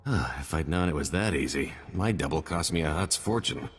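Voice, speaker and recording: A gruff, wisecracking hireling for a game. His very deep, husky voice rolls wryly around a reverberant space. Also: animated, a little fast, full character voice. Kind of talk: video game dialogue.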